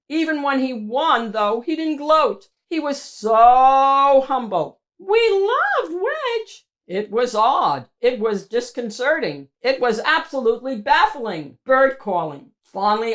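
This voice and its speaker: A woman narrating a children's picture book in an expressive, emphatic storytelling manner. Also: mid-range voice. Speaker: children's read-aloud host.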